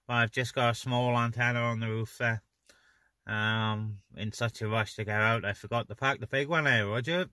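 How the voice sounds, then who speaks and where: A man's voice: medium pitch, fairly even intonation, normal speed, bright, forceful tone. A man chatting casually over CB radio from inside a car, as one of the operators. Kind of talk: casual two-way radio chat. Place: inside a parked car on open moorland.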